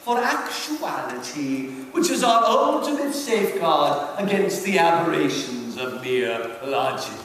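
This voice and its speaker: A man's voice: a little high, very animated, a little slow, few pauses, a bit bright, pitched below the performer's own voice. A man playing a scheming senior devil, condescending and emphatic, in a dramatic reading of satirical letters. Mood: gloating, instructive. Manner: theatrical, condescending, emphatic mentoring. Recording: echoey hall, distant mic, faint noise.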